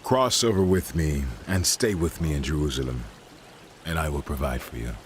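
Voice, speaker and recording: A man's voice: rumbling low, moderate pace, slight background noise. An actor playing a firm yet merciful king, speaking with declamatory authority in a dramatized audio Bible. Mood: firm, gracious.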